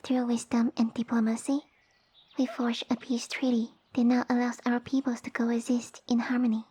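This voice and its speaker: Haughty young lamia heiress, played by a woman, high and breathy, pompous and bossy, for ASMR roleplay audio. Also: little pitch movement, normal speed, dark tone. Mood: proud, solemn. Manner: pompous, formal, commanding speech. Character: haughty, sassy young lamia noblewoman, tiny monster girl.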